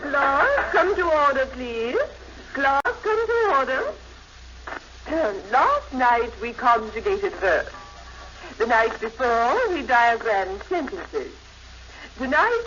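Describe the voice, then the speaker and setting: An actress playing a prim, fussy night school teacher, precise and formal, in 1950s radio comedy style. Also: female voice, sits high, sing-song, slow pace, fairly bright tone, full character voice. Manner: precise, formal, calling for order. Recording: muffled, faintly noisy 1950s radio recording.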